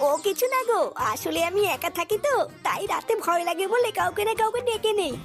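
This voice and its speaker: Flirty cartoon housewife played by a voice actor, coy and coaxing, extremely high voice over faint music. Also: brisk, gravelly. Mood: flirtatious, coaxing. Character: flirtatious, coy married sister-in-law in cartoon. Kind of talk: comic cartoon dialogue.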